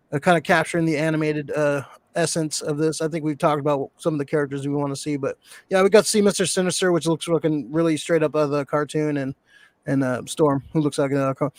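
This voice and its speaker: A man's voice: a little high, no room sound. A man co-hosting a podcast in casual chat, rambling and profane, often correcting himself mid-sentence. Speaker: podcast co-host.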